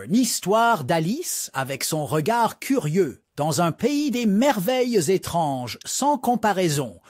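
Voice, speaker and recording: A man narrating a scripted storybook for young children in an expressive read-aloud manner. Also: high-pitched, breathy edge, no echo, clean studio sound.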